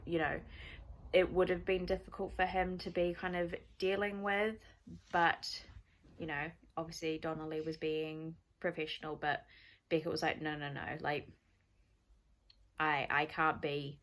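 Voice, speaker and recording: Chatty Kiwi woman, low-ish voice. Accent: New Zealand. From born in Scotland, raised in New Zealand. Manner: chatty, rambling, self-deprecating asides. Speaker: book vlogger. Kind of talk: casual reading vlog chat.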